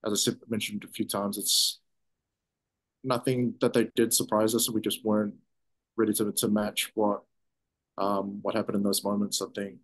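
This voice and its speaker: A man's voice: on the low side, level tone, poor audio. A man in his thirties, a soccer defender, answering postgame press questions over a video call, stopping and restarting his sentences often. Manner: halting, many restarts and fillers. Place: press room in front of sponsor backdrop.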